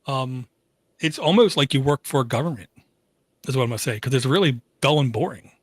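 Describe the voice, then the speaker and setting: A man's voice: mid-range voice, expressive, a little fast, husky, dry recording, studio quality. A man co-hosting a podcast in casual chat, wry and jokey, with a sarcastic streak. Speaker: podcast co-host.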